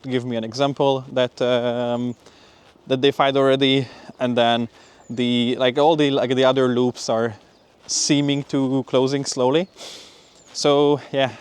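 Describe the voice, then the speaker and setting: A man's voice: mid-range voice, clear, resonant tone. A young man giving an informal, upbeat vlog update in a conversational way, as a student talking through his week. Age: young adult. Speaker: PhD student vlogger. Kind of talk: casual spoken vlog update.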